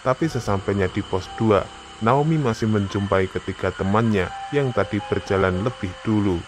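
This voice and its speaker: A man's voice: lowish voice, normal speed, background noise. A man narrating a scripted story for a horror animation.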